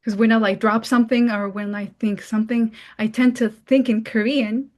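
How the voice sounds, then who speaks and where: High-voiced young woman from Mongolia. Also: fairly even intonation, moderate pace, a little mellow. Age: young adult. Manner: informal, frequent "like". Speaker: guest speaker. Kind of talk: casual question-and-answer talk in English.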